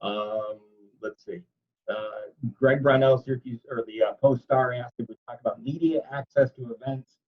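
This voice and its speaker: A man's voice: mid-range voice, fairly even intonation, moderate pace. Casual male moderator, dull muffled call audio. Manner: casual, chatty, loosely worded. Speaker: moderator relaying reporters' questions. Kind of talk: informal conference call moderating. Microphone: video conference call.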